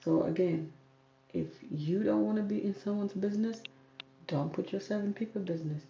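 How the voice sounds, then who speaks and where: A woman's voice: mid-range voice, moderate pace, dark tone. A young woman who hosts a hair channel answers viewer comments on a livestream, firm and repeating herself for emphasis. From South Sudan, raised in Kenya. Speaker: YouTube hair channel host. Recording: roomy, dull audio with faint noise.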